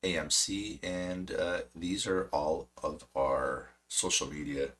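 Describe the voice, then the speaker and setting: A man hosting a stock trading stream, hoarse and losing his voice, chatty and self-mocking with a cracking voice. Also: low voice, slow, fairly bright tone. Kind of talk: casual market commentary.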